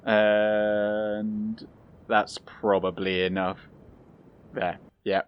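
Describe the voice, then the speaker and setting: A young man giving calm, casual commentary over his own gameplay, soft-spoken and pausing often with fillers. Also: on the low side, smooth, highs cut off. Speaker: gaming channel host.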